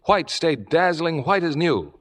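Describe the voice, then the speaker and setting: A man's voice: on the high side, animated, unhurried, a bit bright. A man announcing on a 1950s radio show, reading scripted copy in a polished, formal, promotional manner.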